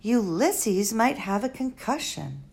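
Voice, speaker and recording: A woman's voice: medium pitch, sing-song, takes it slowly, gravelly, a little mellow, heavily acted. A woman doing a blunt, impatient girl. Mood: exasperated. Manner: exasperated, insistent.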